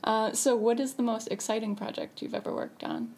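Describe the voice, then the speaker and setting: A woman's voice: a little high, fairly even intonation, brisk, a little mellow. A woman interviewing an artist, asking short, plain questions. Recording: faint background noise.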